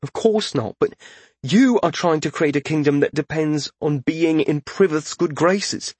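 Man voicing an impassioned, high-pitched young mage. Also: moderate pace, dark tone, husky, no room sound. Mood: anxious arguing. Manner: pleading, indignant, incredulous. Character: earnest young journeyman mage, emotional and self-doubting. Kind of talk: audiobook character voice.

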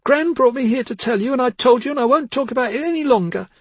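A distraught teenage girl, as a male narrator puts her on, crying and shouting in a thin, strained voice pushed far up in pitch. The sound is dry and a little husky. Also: fast talker, no echo, exaggerated voice. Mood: shrieking.

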